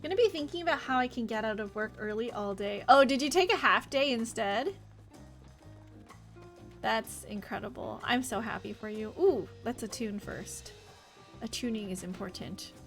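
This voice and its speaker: A chatty, expressive woman livestreaming a game in a casual, rambling way and talking to her viewers. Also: fairly high pitch, normal speed, faint music behind. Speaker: live game streamer. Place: indoor home office.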